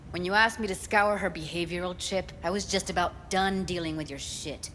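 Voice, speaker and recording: A woman's voice: fairly low pitch, normal speed, fairly bright tone, a little hiss or hum, lightly acted. An actress playing a guarded, blunt young woman who is a tech expert in a video game. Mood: weary, bitter. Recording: music behind the voice.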